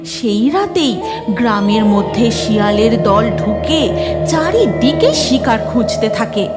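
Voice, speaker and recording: A woman narrating a scripted cartoon story. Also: high voice. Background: music.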